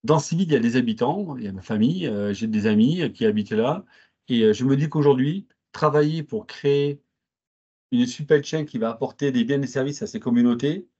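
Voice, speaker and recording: Husky man with a southern French accent. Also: mid-range voice, warm tone, dull audio. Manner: measured, with hesitant fillers and restarts. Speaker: interviewed guest. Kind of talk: formal interview answers.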